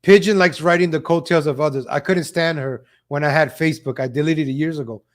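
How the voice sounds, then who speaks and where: Guy doing a live stream rant, kinda high voice, mocking and sarcastic, says stuff twice to hammer it in. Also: a little fast. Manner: mocking, sarcastic, repeats phrases for emphasis. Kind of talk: live political gossip commentary to chat viewers.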